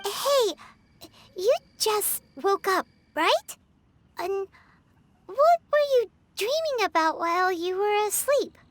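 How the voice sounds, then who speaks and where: A woman voicing a perky, childlike little fairy girl companion in a video game, chatty, blunt and exclamatory with a squeaky tone. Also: lively intonation, takes it slowly, full character voice. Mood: curious, chatty. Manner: exclamatory, refers to self by name.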